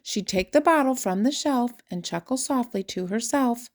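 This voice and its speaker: An older woman, a grandmother, reads a rhyming children's picture book aloud with expressive, theatrical emphasis on key words. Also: a little high. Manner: theatrical emphasis, drawn-out key words, dropping to hushed asides.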